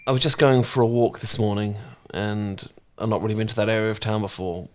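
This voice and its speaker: Casting: male actor as easygoing, fond housemate for ASMR romance audio; lowish voice, soft, unhurried, reassuring. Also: fairly even intonation, natural voice. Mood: relaxed, easygoing. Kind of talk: intimate ASMR romance roleplay.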